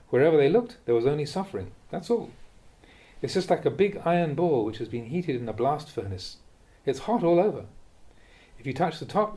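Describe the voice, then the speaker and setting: A man reading a Buddhist teaching aloud as a monk, in a measured, deliberate way with emphasis on key phrases. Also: on the high side, mellow. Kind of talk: read-aloud dharma talk.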